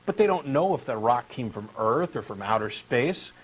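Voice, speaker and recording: A man's voice: mid-range voice, animated, normal speed, breathy edge. A man with a Texan accent reading a comic dialogue as an eager, insistent believer. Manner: eager, insistent true believer, earnest and pushy. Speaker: co-host doing a comic dramatic reading.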